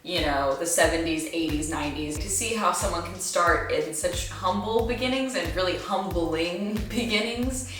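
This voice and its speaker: A young woman chatting casually to viewers about what she recommends, with an animated, gushing, emphatic manner. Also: lowish voice, normal speed. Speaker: book review vlogger. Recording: echoey room, distant mic, faint music.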